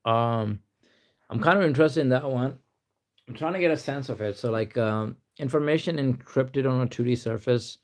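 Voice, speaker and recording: Young pakistani guy streaming, rambly and easygoing, keeps saying to be honest, mid-pitched voice. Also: moderate pace, darkish tone. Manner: rambling, reads answers aloud, says "to be honest" a lot. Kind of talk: casual live-stream chat.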